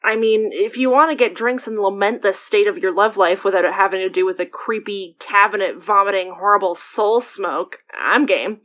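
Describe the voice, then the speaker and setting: A woman's voice: mid-range voice, normal speed, hardly any pauses, lo-fi sound, close to an everyday voice. An actress playing a casual, teasing, supportive female friend in a naturalistic audio drama. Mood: supportive, playful.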